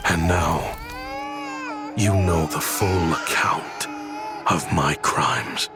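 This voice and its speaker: A grim, weary avenger from a video game, heavy with remorse. He speaks low and very slowly, halting and brooding, with music faintly behind him. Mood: remorseful, grave. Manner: slow, halting, brooding delivery. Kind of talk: dramatic video game voice acting.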